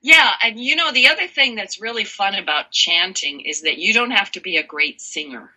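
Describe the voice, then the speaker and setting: Interview guest, woman, low husky voice with a bright, tense edge, slow emphatic delivery. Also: rough recording. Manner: animated, laughs often, slow emphatic phrasing. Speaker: guest, sound healer. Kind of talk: warm expressive interview talk.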